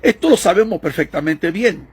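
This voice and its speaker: A man's voice: sits high, lively intonation, normal speed, husky. An older man, an evangelical preacher, delivering an online sermon with an emphatic preaching cadence and quoting scripture.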